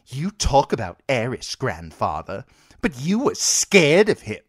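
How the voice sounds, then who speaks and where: A man voicing a spoiled, cruel teenage boy king who whines and shouts his demands. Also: wildly swooping pitch, a little slow, husky, no echo, studio quality, full character voice. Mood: petulant. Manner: shrill, whiny, shouting demands.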